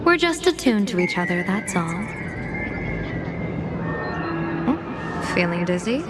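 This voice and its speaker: Game role: teasing, enigmatic woman general played by an actress, very lively intonation, loud music underneath. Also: mid-range voice, normal speed, natural voice. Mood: reassuring. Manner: teasing, coy, expressive. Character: playful, mysterious woman general and fortune-teller. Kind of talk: scripted video game dialogue.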